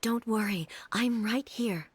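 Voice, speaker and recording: A woman voicing a calm, solemn, regal shogun in a video game, speaking in measured, formal phrases with a breathy tone. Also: on the high side. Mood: reassuring. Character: calm, solemn, regal female shogun ruler, eternal and grave.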